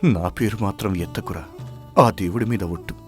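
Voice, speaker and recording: A man's voice: low-pitched, moderate pace, a little mellow, natural voice. A man playing a sick, guilt-ridden village farmhand who hides his suffering in a dramatized moral story, anguished and heavy-hearted. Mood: resigned, pleading. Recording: music behind the voice.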